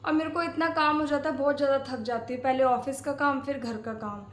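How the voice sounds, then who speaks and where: Young daughter-in-law played by a woman, high voice, coaxing and innocent, home comedy skit, roomy echo. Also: steady tone, fairly quick, close to an everyday voice. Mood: explaining, agreeable. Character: young daughter-in-law, placating, sweetly cheeky. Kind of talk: domestic comedy skit acting. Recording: echoey room.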